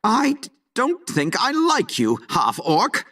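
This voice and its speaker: An actor playing a timid, stuttering but loyal fighter in a video game. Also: male voice, a little high, huge pitch swings, takes it slowly, fairly bright tone, recorded from a distance, heavily acted. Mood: nervous defiance. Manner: nervous stutter.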